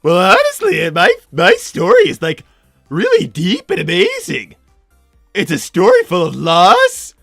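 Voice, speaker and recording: A man's voice: sits high, huge pitch swings, unhurried, vocal fry, fairly bright tone, dry recording, studio quality, heavily acted. A man voicing a vain, eager young cartoon bird who boasts and insists in an improvised roleplay. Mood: boastful, pleading. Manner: boastful, self-important, insistent. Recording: music playing behind the voice. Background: music.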